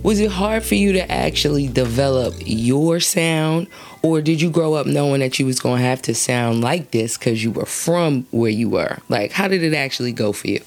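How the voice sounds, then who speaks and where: A woman's voice: rumbling low, animated, normal speed, darkish tone, faint music behind. A woman hosting a casual interview, chatty and slangy, asking long, rambling questions and laughing easily. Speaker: interview host.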